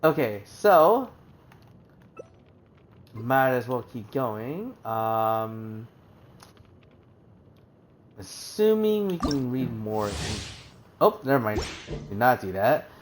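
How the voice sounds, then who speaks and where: A man's voice: medium pitch, very animated, drawn-out words, pauses often. A man streaming a video game, reading menu text aloud and chatting casually with many fillers and restarts.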